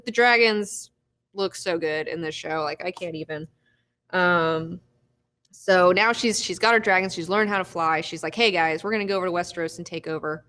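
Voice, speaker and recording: A young woman hosts a live recap show in a loose, chatty way, laughing often and cursing freely. Her voice sits at an average pitch for a woman. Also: bright, forceful tone. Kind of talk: casual live recap chat.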